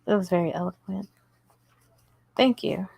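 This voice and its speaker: A woman's voice: medium pitch, a little slow, vocal fry, a little mellow, breathy edge, no echo. A woman hosting a casual crochet livestream, chatty and giggly as she talks to her viewers. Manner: chatty, giggly, talks to chat while working. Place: indoors at home table.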